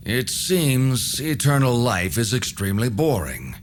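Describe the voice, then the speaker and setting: An actor playing a mysterious, calm old hermit in a video game, speaking in a measured, wry way. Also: male voice, medium pitch, slight background noise. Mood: calm, grave. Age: older. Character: mysterious old man, calm hermit helping vampire hunters. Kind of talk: video game cutscene voice acting.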